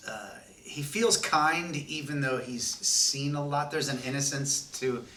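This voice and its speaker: A man's voice: on the high side, a little slow, pushed and bright, slight background noise. A man from the cast chatting about his role, thoughtful and searching for words. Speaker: cast member. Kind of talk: casual chat. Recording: roomy room, distant mic.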